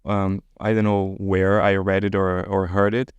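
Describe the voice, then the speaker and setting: Podcast host guy, lowish smooth voice, quick curious questions, dry room. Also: flat delivery, dry recording, lo-fi sound. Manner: curious, brief prompting. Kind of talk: interview questions.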